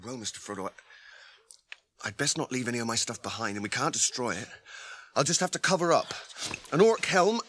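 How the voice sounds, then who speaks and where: A man's voice: mid-range voice, lively intonation, fairly quick, a bit bright, lightly acted. A man playing a loyal, homely, practical hobbit servant in a radio drama, speaking deferentially with a rural English accent. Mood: practical, bustling. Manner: deferential, plain-spoken, rustic. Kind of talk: British radio drama acting. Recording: slightly noisy archive radio recording.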